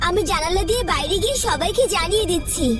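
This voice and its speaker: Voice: very high-pitched, expressive, quick pace. An actor voicing a brave, confident and cheeky nine-year-old superhero boy in a dubbed cartoon. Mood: determined. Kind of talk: Bengali cartoon dub acting. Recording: loud music under the dialogue.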